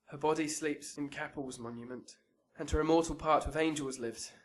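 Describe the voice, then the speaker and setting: An actor playing a dutiful young servant bringing sad news in a Shakespearean radio drama. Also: male voice, a little high, fairly even intonation, normal speed, lightly acted. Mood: sombre.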